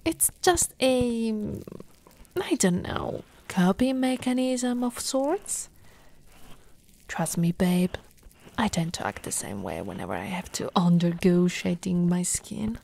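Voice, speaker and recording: A woman playing an affectionate, teasing lamia girlfriend in an ASMR roleplay, soft and cooing, often slipping into whispers. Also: on the low side, sing-song, takes it slowly, vocal fry, exaggerated voice. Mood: reassuring.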